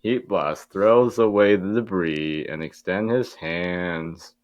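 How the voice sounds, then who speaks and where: A man's voice: low-pitched, takes it slowly, no echo. A man reading stage directions as the narrator of an amateur table read.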